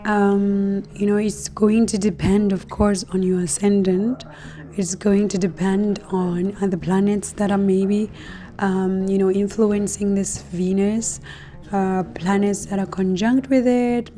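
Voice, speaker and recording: Slow, mellow-voiced hoarse woman. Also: medium pitch. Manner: slow, relaxed, many fillers and light laughs. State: losing her voice, deeper than usual. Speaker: astrology channel host. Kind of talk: casual explainer to viewers.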